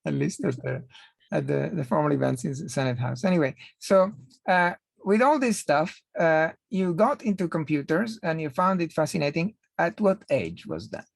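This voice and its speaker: A man's voice: on the high side, animated, normal speed, vocal fry, no room sound. A middle-aged man hosting an interview, polite and precise, with some hesitations. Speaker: interviewer and host. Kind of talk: interview, scripted intro.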